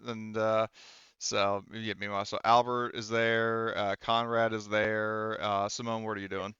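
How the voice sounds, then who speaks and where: Guy game mastering, smooth clear voice, stop-and-start casual talk, slightly dull recording. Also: fairly low pitch, little pitch movement, pushed and bright, dry recording. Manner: casual, halting, profane. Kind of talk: narrating a tabletop game.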